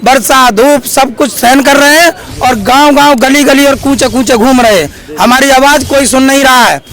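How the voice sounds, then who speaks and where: A very high-pitched male voice. He speaks as a monk and activist, earnestly exhorting the public in an impassioned street appeal. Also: normal speed, fairly bright tone, low-quality recording. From Uttar Pradesh, India.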